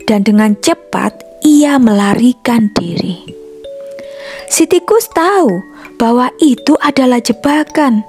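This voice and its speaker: A woman narrates a scripted story for children. Her voice sits fairly high, and soft music plays behind her as she reads. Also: lively intonation, slow pace.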